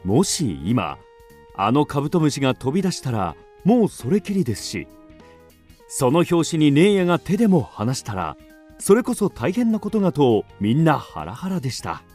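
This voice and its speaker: A male narrator tells a children's radio drama in a scripted, storybook style. His voice is a little high for a man and very expressive, with faint music behind. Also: moderate pace.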